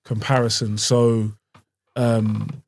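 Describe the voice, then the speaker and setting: A young man co-hosts a podcast in a relaxed, slangy manner. His voice has a strong gravelly fry and sits at a middle pitch. The recording is close and dry. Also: slow pace, no room sound. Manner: laid-back, reflective, slang-heavy. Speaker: podcast co-host. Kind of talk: casual podcast chat.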